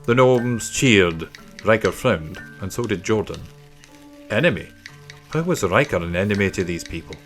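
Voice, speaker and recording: A man's voice: lowish voice, animated, moderate pace, fairly bright tone. A man narrating a children's story from a script. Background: faint music.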